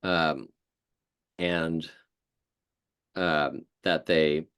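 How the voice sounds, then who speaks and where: A man's voice: very deep voice, steady tone, fairly bright tone, clear, resonant tone. A man giving a considered, hesitant explanation as a guest lecturer answering questions after a talk. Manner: thoughtful, hesitant, measured. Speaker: guest speaker, historian.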